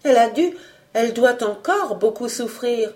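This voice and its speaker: Woman acting gentle, earnest young woman, raised pitch. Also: lively intonation, moderate pace, close to an everyday voice. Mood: timid. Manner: tender, timid, earnest.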